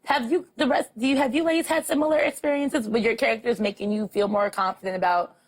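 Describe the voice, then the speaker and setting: A woman's voice: a little high, fast, poor audio. A woman in her thirties hosting a casual talk show interview in a studio. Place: TV studio couch.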